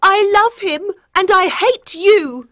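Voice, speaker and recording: A man's voice: extremely high pitch, lively intonation, measured pace, a bit bright, dry recording, studio quality, voice pushed up, full character voice. A man voicing a scheming, lovesick young queen in a storybook, with a pleading, dramatic delivery. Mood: defiant, hateful. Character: scheming young queen, mournful, devoted to her secret lover. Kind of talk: storybook character voice.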